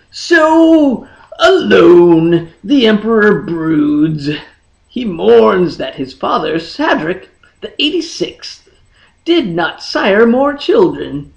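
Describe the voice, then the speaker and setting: Slow, gravelly, theatrical fantasy narrator. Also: mid-range voice, muffled recording. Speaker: audiobook reader. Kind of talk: dramatic scripted fantasy narration.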